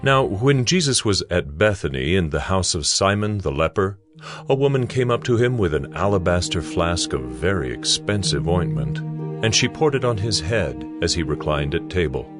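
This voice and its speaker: A male narrator reading scripture in a deliberate, expressive way. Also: deep voice, music in the background.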